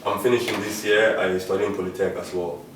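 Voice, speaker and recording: A young man from Nigeria speaks in a steady, explaining way and often adds "you know". His voice is lowish. He sits away from the mic in a very echoey room. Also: a little fast, far from the mic, background noise.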